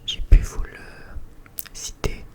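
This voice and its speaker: Whispering ASMR creator, rambling and hesitant. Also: slow. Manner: rambling, hesitant, often repeating words. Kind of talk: whispered ASMR casual chat.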